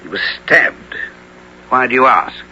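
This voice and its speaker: An actor playing a commanding, evasive knight and guardian with imperious, clipped archaic speech in a 1970s radio drama. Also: male voice, mid-range voice, expressive, a little slow, sharp tone. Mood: guarded, firm. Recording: dull, slightly noisy old radio broadcast.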